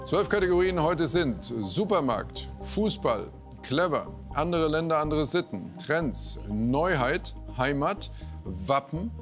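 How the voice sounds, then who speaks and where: A man's voice: fairly high pitch, big pitch swings, slow pace. Upbeat male quiz show host. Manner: chatty, upbeat, addresses audience directly. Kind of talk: lively TV quiz hosting. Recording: TV studio with music and audience.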